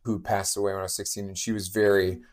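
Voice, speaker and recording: A man's voice: on the low side, flat delivery, fast talker, a crowd in the background. Talkative man in his late thirties. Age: 30s. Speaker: podcast guest, bookstore co-owner. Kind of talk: casual interview chat.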